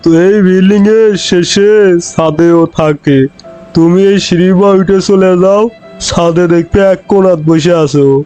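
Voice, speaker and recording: A man's voice: high voice, fairly even intonation, measured pace, mellow, smooth, faint music behind. A man voicing a kindly, talkative older shopkeeper in a cartoon, with a rural Bangladeshi Bengali dialect. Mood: admiring, explanatory. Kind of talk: Bangla comedy cartoon dubbing.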